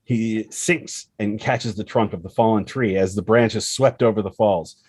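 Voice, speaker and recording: Low-voiced man narrating a screenplay, close and dry. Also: moderate pace, darkish tone, husky, dry recording. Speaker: screenplay reader. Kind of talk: dramatic scripted narration of screenplay action.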